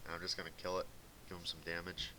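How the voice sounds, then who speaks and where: Young man, light Boston accent, very low voice, rambling casual commentary, faint hiss. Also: monotone, quick pace, sharp tone, rough recording. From Massachusetts. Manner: rambling, filler-heavy, laid-back. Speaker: gaming video commentator. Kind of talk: casual commentary over gameplay. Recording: faint hissy home recording.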